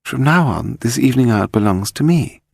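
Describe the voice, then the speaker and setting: A poised, knowing woman as a male reader plays her speaks lightly and wryly in an audiobook mystery. The pitch is lifted and lively, the sound dry. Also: fairly quick, gravelly, husky, dry recording.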